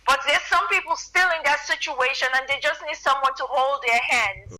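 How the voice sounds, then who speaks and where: A woman from Nigeria with a Nigerian accent softened by American speech, a radio guest talking earnestly and often repeating words as she starts. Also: high-pitched, normal speed, a little hiss or hum. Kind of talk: radio interview by phone. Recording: tinny phone line.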